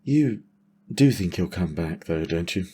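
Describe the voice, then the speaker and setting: A man voicing a wise, steady dragon in a fantasy audio drama, speaking in a measured, gently probing way. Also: low-pitched. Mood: gently questioning. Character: wise, steady male dragon, bonded to his rider.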